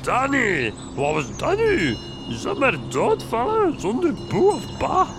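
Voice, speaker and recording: A man's voice: very high-pitched, wildly swooping pitch, creaky, music in the background, exaggerated voice. An actor playing a folksy, baffled farmer talking to his livestock in a radio horror comedy. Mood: puzzled. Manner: slow, folksy.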